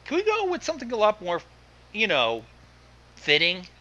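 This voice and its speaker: A man's voice: high voice, wildly swooping pitch, moderate pace, pushed and bright, highs cut off. A man chatting casually as a co-commentator on a story reading. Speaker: co-commentator on a fan fiction reading. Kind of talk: casual chat.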